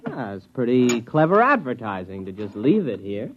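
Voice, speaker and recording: A man playing an eager, curious amateur hunter with an excitable, earnest manner in a 1950s radio comedy. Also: medium pitch, moderate pace, noisy background, lightly acted. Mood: curious, amused. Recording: dull vintage radio recording.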